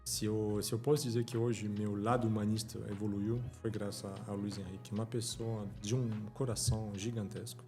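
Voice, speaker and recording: A man's voice: lowish voice, level tone, fairly quick, hardly any pauses, warm tone, soft background music. A middle-aged man from France, a podcast guest talking in a casual chat, earnest and emphatic, often repeating words as he speaks. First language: French.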